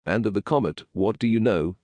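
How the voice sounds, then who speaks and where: Stately low-voiced alien priest, played by a man. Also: darkish tone, no echo, natural voice. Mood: reverent. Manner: stately, ceremonious, deliberate. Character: dignified alien high priest, grave, wise and mystical.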